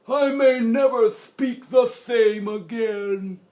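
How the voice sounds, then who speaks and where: A man voicing a storybook grandfather, old, toothless and indignant, with a lisp and drawn-out, loud exclamations. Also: very high-pitched, pitched above the performer's own voice. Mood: indignant distress. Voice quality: lisping, toothless speech.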